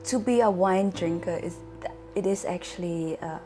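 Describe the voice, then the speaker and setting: A woman's voice: fairly low pitch, normal speed, dark tone, faint music behind. A woman from Germany explaining casually in conversation, hesitant and often searching for words. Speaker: wine-savvy guest explaining to host.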